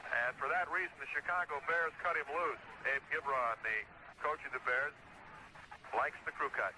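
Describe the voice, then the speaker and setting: A man's voice: on the high side, normal speed, noisy background. A man with an American accent calling live football play-by-play in clipped, descriptive phrases on a 1970s radio broadcast.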